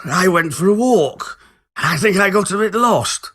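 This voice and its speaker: A man's voice: high-pitched, expressive, measured pace, a bit bright, pitched above the performer's own voice, full character voice. A man voicing a polite, muddled, old-fashioned little old man in his late sixties for a ghost story. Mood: mildly confused.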